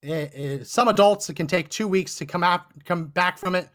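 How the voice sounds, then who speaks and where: Hoarse, high-voiced indignant male host. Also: moderate pace, a bit bright. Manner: emphatic, indignant. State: hoarse, losing his voice. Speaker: podcast host. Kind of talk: political commentary monologue. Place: Texas.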